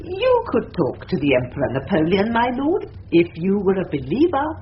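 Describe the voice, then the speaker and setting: A woman's voice: medium pitch, huge pitch swings, moderate pace. An actress playing a prim, earnest English matron in a radio drama, emphatic and utterly self-assured about her belief in spirits. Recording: dull lo-fi radio drama, faint noise.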